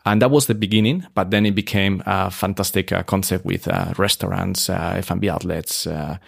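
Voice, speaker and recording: A man, a hotel expert talking relaxed in an interview, with many fillers and restarts. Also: low voice, a little fast, vocal fry, slightly rough, no room sound, clean studio sound. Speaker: hotel operations expert, guest. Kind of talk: casual interview conversation.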